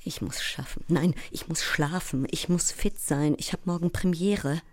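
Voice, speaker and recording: Low-voiced, overwrought sleepless actress. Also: female voice, a little slow, dry recording. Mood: paranoid, obsessive. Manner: intense, fragmented, often dropping into breathy whispers. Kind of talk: theatrical audio drama monologue.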